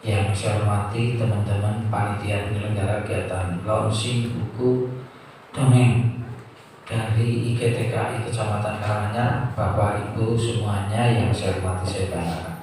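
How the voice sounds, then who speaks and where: A man's voice: on the low side, steady tone, normal speed, darkish tone. Echoey man giving a formal public speech. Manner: repeats phrases for emphasis. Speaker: education official giving a speech. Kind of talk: public speech at a book launch. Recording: very echoey hall, distant mic, faint noise.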